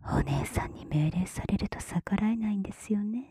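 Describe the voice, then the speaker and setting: A woman performing erotic ASMR roleplay in a breathy whisper, with a teasing, gently commanding big-sister manner, close on the mic. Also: medium pitch, animated, normal speed, dry recording. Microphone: close mic, dry.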